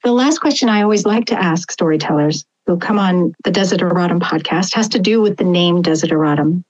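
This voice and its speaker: A woman hosting a podcast, asking thoughtful, measured questions. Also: lowish voice, a little mellow, muffled recording. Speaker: podcast host. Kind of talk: interview conversation.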